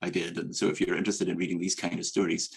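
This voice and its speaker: A Scottish man delivers an academic medical presentation in a low voice with a husky edge. He speaks in a measured, earnest manner, pausing and hesitating as he goes, with a creaky fry under his words. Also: flat delivery. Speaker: medical professor presenting a lecture. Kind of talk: academic grand rounds presentation.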